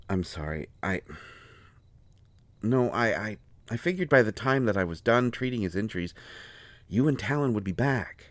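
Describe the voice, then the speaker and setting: A man plays a harried male court doctor from a fantasy audio drama, with a low voice in a close, dry recording. He is protective and defensive, explaining himself to a lover with pleading exasperation. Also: dry recording. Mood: apologetic, explaining. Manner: pleading, exasperated, swinging from soft explaining to flustered outbursts. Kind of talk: dramatic audio roleplay, one side of a conversation.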